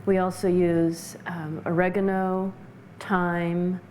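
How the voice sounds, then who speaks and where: A woman giving explanatory interview answers as a practitioner, measured and halting as she searches for words. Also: fairly low pitch, flat delivery, a crowd in the background. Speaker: interview guest, essential oils practitioner.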